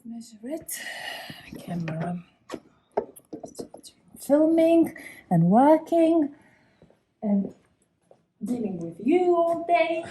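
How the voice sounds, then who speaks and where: A woman's voice: high-pitched, sing-song, slow, long pauses, background noise. A woman with a foreign accent in English, chatting casually and playfully argumentative while working in a motorcycle workshop. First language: not English. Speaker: motorcycle builder and video host.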